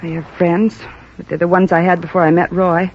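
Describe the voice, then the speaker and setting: A woman's voice: fairly low pitch, flat delivery, brisk. An actress playing a proud, desperate young former hat check girl in a 1950s radio drama, earnest and pleading. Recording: muffled old radio recording.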